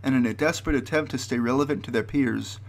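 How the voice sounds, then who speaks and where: A man's voice: medium pitch, quick pace, vocal fry, slight background noise. A man narrating a scripted explanatory video.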